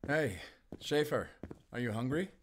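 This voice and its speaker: A man's voice: medium pitch, animated, a little slow, a little hiss or hum, natural voice. A man playing a wry, confident wolf hunter in an audio roleplay, talking in a teasing, conversational way to a companion. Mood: playful, teasing. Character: wry, playful male German wolf hunter, confident, protective. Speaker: voice actor. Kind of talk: cinematic audio roleplay acting.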